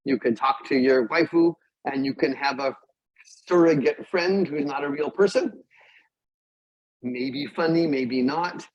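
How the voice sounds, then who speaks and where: A man's voice: mid-range voice, a little slow. A man who is a native English speaker, lecturing as a psychology professor in plain, short, emphatic sentences. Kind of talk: academic lecture and discussion. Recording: dull, muffled lo-fi audio.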